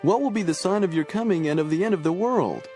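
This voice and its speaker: An eager, anxious male disciple in a scripture audio drama. His voice is high and animated, with faint music behind it. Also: fast talker. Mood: anxious questioning. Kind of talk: dramatized audio Bible acting.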